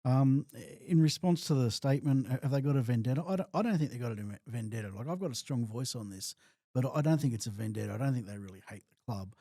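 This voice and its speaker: Man, warm mellow tone, dry close recording, thoughtful podcast talk. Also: mid-range voice, fast, no echo. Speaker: podcast co-host. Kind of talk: casual sports podcast chat.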